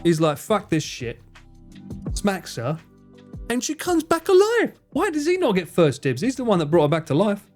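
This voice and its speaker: A young man hosting a live stream, cracking crude jokes and talking to his chat between bursts of laughter. His voice sits a little higher than most men's, with faint music playing underneath. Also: wildly swooping pitch, normal speed, a little mellow. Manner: sweary, jokey, talks to chat and laughs often. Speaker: livestreamer.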